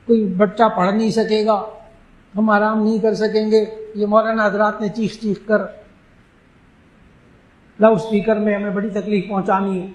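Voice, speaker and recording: High-voiced scholar in an echoey room. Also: male voice. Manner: emphatic, slows to stress key phrases. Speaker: Islamic scholar. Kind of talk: religious talk answering questions.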